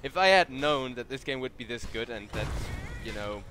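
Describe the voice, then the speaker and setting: A man's voice: mid-range voice, expressive, moderate pace. Tired young German man casting a game. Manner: chatty, tired, answers chat between plays. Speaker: esports caster. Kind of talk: solo live game commentary.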